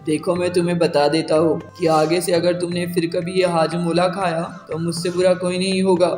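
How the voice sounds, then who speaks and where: A young cartoon husband, played by a man, bossy and quick to scold, with a fairly high voice. The sound is roomy with a long reverb and background music. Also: flat delivery, close to an everyday voice. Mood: angry. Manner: loud and scolding.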